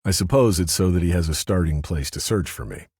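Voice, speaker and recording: A man doing a calm, menacing fixer. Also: low-pitched, brisk, breathy edge, dry recording, studio quality, heavily acted. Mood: warning.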